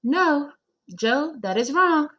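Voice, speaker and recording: Woman as a firm, patient, high-voiced schoolteacher. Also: lively intonation, a bit bright, exaggerated voice. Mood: firm, correcting. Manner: instructive, firm, measured. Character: female schoolteacher, patient but firm, corrective. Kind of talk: children's storybook read-aloud.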